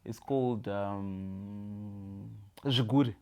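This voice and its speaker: A man from Zimbabwe with a Zimbabwean accent, chatting casually and thinking aloud in halting, repeated phrases. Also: fairly low pitch, smooth. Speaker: quiz contestant on a family vlog. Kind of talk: casual chat.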